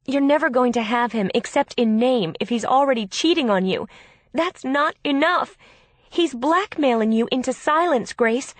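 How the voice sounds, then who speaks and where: A woman voicing an exasperated, protective older sister who argues bluntly and forcefully in an audiobook. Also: on the high side, lively intonation, a little slow, no echo, voice pushed up. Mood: shouting, exasperated.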